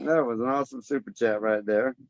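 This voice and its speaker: A man's voice: mid-range voice, brisk, no room sound. A man hosting a livestream in a casual, folksy and jokey way. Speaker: livestream host.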